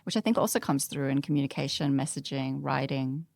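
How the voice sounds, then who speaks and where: A woman's voice: rumbling low, fairly quick, gravelly, darkish tone, no room sound. A woman talking casually as a panelist in a podcast discussion.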